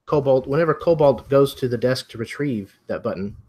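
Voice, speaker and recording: A man acting as game master, narrating a mystery role-playing game in a conversational, improvised way with a husky voice. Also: medium pitch, a little fast, darkish tone.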